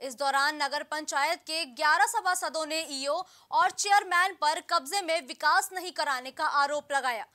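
A woman's voice: sits high, moderate pace, few pauses, bright, forceful tone. A young woman reading a scripted news bulletin as an anchor, formal and emphatic. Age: young adult.

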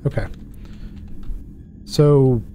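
A man in his thirties narrates his gameplay casually, thinking aloud at an unhurried pace. He speaks in a medium-pitched voice close to the mic and often questions himself. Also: mellow, soft background music. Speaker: gaming YouTuber. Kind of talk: casual let's play commentary. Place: indoor home setup.

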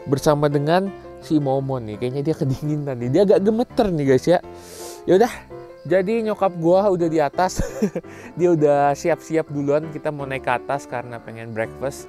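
A man's voice: on the high side, moderate pace, dark tone, faint music behind. Chatty young man vlogging outdoors. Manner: chatty, upbeat, addresses viewers as guys. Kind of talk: casual travel vlog narration. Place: outdoors at a waterfall resort.

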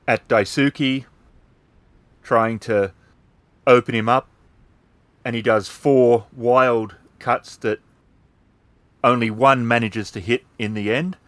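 A man's voice: medium pitch, fairly bright tone, no room sound. A man narrating combat as game master of a tabletop role-playing game.

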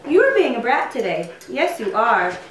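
A woman's voice: fairly high pitch, sing-song, normal speed. A young adult woman hosting a casual home vlog, talking to camera and cooing playfully to her pets. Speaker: vlog host. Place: living room at home, indoors. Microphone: camera a few feet away. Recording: roomy, distant mic, faint noise.

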